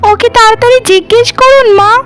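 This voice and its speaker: A woman voicing a spiteful, tattling daughter-in-law in a cartoon, shrill and urgent. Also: brisk, fairly bright tone. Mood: outraged. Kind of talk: cartoon voice acting.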